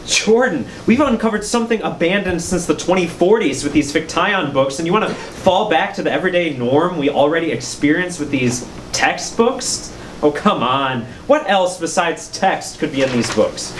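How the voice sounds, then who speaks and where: In an echoey, noisy room, a low-pitched voice swings animatedly as a woman acts a curious, rebellious researcher in a futuristic stage play. The delivery is excitable, incredulous and emphatic. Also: moderate pace. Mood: defiant, eager.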